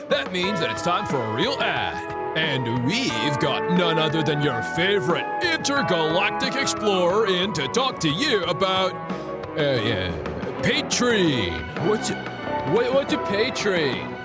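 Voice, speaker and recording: A man playing a vain, excitable, campy radio announcer doing a comedic ad read in a theatrical, playful way. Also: fairly high pitch, takes it slowly, loud music behind the voice.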